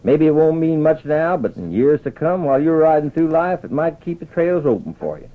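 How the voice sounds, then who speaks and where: A man's voice: on the high side, big pitch swings, brisk, voice pushed up. A man voicing an old trapper and scout who talks in folksy cowboy phrases, in western radio storytelling. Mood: sage, advising. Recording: muffled old radio transcription.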